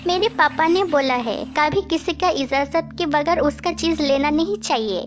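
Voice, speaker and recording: A performer voicing a dutiful, caring, honest poor little girl in an animated moral story. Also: high-pitched, unhurried. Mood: earnest. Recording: muffled audio, prominent background music.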